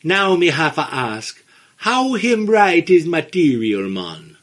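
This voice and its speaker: A man voicing a laid-back male Jamaican caller, leaving a voicemail in a slow, easygoing patois-style drawl. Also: a little high, very animated, a bit bright, heavily acted. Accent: put-on Jamaican.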